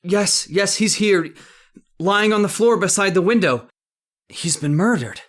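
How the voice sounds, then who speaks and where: A man's voice: sits high, measured pace. A man playing a shaken, trusting doctor in old-time radio horror style, hesitant and exclamatory. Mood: urgent.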